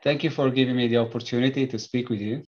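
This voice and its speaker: A middle-aged man from Italy with an Italian accent, a company founder speaking as an interview guest, pausing often with filler sounds and restarts. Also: mid-range voice, fairly even intonation, a little mellow, muffled recording. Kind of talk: conversational interview.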